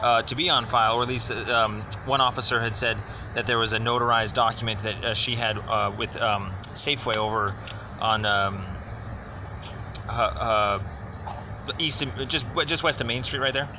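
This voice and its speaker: A man speaking as a caller making an informal inquiry, explaining at length in a halting, rambling, wordy way. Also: medium pitch, steady tone. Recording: noisy phone call with outdoor traffic.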